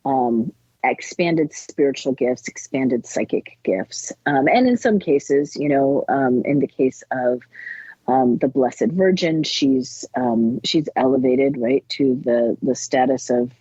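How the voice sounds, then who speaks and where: A woman's voice: rumbling low, slow, warm tone, slightly rough, no room sound, muffled recording. A woman author speaking as an interview guest, explaining things in a scholarly but colloquial way. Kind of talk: interview conversation on spiritual folklore.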